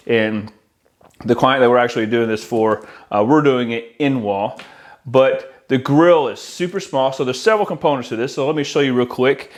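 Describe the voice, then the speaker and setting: An American man in his forties giving a casual, conversational product walkthrough to camera as an installer who addresses his viewers directly. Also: mid-range voice. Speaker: audio-video installer presenting products. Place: indoor room with decorated wall, at a worktable.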